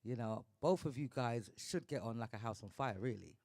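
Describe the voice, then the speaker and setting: A man's voice: medium pitch, brisk, creaky, no room sound, clean studio sound. Upbeat man hosting a live podcast. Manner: upbeat, gives shout-outs, slangy. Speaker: podcast host. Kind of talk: casual live talk show.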